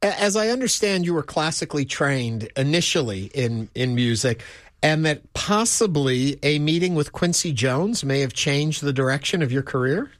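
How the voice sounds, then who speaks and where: A man co-hosting a radio talk show, asking measured, careful questions. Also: fairly high pitch, lively intonation, no room sound, clean studio sound. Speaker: host.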